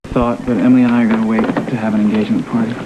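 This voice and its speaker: A man's voice: fairly low pitch, little pitch movement, racing, lightly acted. A man playing an earnest young heir, dull audio. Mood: wary, hesitant. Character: earnest, defiant young male heir, protective fiancé. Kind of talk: television soap opera acting. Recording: dull TV audio under music.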